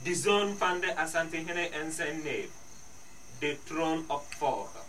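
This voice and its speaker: An actor playing a deferential servant who bows to princes in a radio drama. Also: male voice, on the high side, measured pace, pushed and bright, slight background noise, poor audio. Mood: respectful. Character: deferential servant bowing before young princes.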